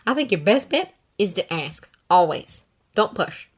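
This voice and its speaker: A woman voicing a wry ghostly woman who gently teases and advises a boy. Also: on the low side, very animated, a little slow, husky, full character voice. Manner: dry, sighing, gently teasing.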